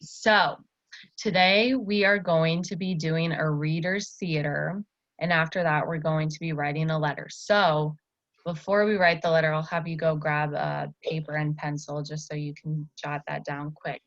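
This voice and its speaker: A woman teacher with a bright, forward voice, pitched a little low, talks casually with a young pupil. She is encouraging and repeats answers back. The sound is slightly dull, like a video call, with faint noise underneath. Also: steady tone, a little slow. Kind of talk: casual one-on-one lesson with a child.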